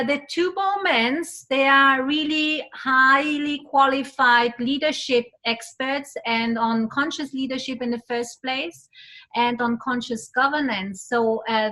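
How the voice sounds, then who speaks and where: Middle-aged woman talking to a class in english, high bright voice, takes her time. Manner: slow and coaxing, prompting the class to answer. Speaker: event host. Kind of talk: addressing a class of students.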